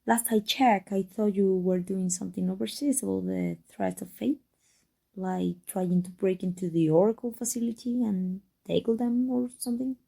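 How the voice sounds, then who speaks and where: A woman's voice: mid-range voice, steady tone, moderate pace, gravelly, warm tone, breathy edge. A woman playing a sarcastic, prickly female supervillain who taunts a rival in a theatrical ASMR roleplay drama. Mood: irritated, guarded.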